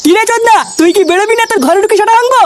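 Enraged cartoon dad, very high voice, rapid-fire threats, coarse, shouting, noisy recording. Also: male voice. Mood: furious, threatening. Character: angry, foul-mouthed father threatening a neighbour's household. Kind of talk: comic cartoon dubbing.

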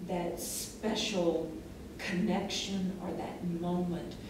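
A middle-aged woman with a lowish voice delivers a judge's critique. She is heard from a distance in a strongly echoing, noisy room. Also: steady tone, takes it slowly. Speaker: theatre contest judge giving critique. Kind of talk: spoken critique to performers. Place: classroom, far camera.

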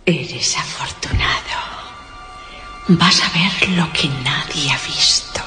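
A woman's voice: deep voice, takes it slowly, bright, forceful tone, heavily acted. A woman playing a mysterious, hypnotic woman in a radio drama, speaking in a spellbinding, theatrical way. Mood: ominous, commanding. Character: mysterious, hypnotic woman luring a man onward. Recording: echoey, long reverb, music behind. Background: faint music.

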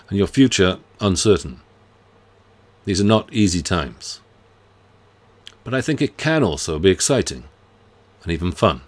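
Deep-voiced professor introducing himself. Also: male voice. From United Kingdom. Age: middle-aged. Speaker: university professor. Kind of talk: scripted self-introduction to students. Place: home study lined with bookshelves.

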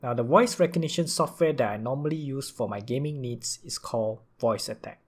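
A man's voice: medium pitch, fairly quick, slightly rough. A man narrating a scripted gaming tutorial as a video creator.

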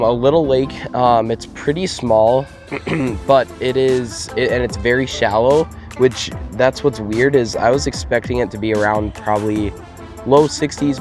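A young American man with a hoarse, worn-out voice from a cold, chatting casually for a fishing vlog outdoors on a boat. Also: mid-range voice. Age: young adult. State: hoarse from a cold, losing his voice. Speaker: fishing vlogger. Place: outdoors on a small boat on a lake.

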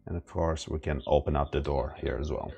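A man narrating a casual step-by-step product setup tutorial in a plain, halting instructional way. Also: very deep voice, steady tone, creaky, darkish tone, muffled recording. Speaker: product demonstrator. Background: background music.

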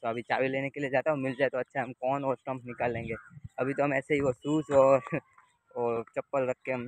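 Young man, chatty vlogger, outdoor cricket ground, camera at arm's length, mid pitch. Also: darkish tone, slight background noise, poor audio. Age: young adult. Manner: chatty, addresses viewers directly. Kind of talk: casual vlog narration. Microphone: handheld camera at arm's length.

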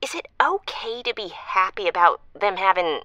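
A woman's voice: mid-range voice, lively intonation, moderate pace, pushed and bright, no echo, dull audio. An actress playing a chatty, jilted small-town woman calling a radio advice show, earnest and a little folksy. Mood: eager, earnest.

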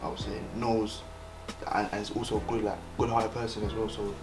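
Casting: young British man, informal contestant, little pitch movement, roomy off-mic sound. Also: level tone, a little fast. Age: young adult. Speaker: dating show contestant. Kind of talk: casual chat. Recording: echoey, distant, music behind.

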